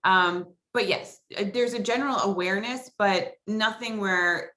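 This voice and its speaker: A woman's voice: mid-range voice, normal speed, fairly bright tone. A woman in her forties from Long Island with an American accent, chatting casually and ramblingly as an interview guest. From Long Island, New York, USA. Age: 40s. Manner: chatty, rambling, peppered with "like" and "you know". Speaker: podcast guest. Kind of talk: casual chat.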